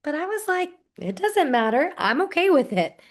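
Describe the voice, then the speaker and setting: A woman's voice: sits high, sing-song, normal speed. A woman playing a friendly, grateful college program associate, chatting enthusiastically in a first-person stage narrative. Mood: earnest, passionate. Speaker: performer portraying a university program associate. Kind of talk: dramatized first-person interview narrative, conversational.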